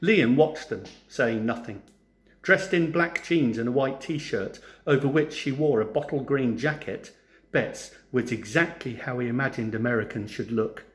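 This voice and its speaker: A man narrating a storybook for children in an expressive, theatrical way, like a teacher. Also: mid-range voice, a little slow. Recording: roomy, echoing sound.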